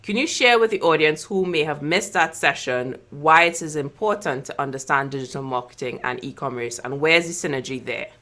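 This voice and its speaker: Woman host, low voice with a bright, forceful edge, chatty and upbeat, on a video call. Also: a little fast, hardly any pauses. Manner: upbeat, chatty, laughs easily. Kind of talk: live online interview show.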